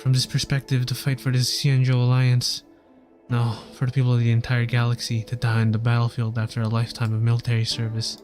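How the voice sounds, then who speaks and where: A man's voice: mid-range voice, monotone, fairly quick, a little mellow. Earnest man reading aloud over game music. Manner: earnest, stumbles over words while reading. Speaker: game streamer. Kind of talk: reading game story text aloud on livestream.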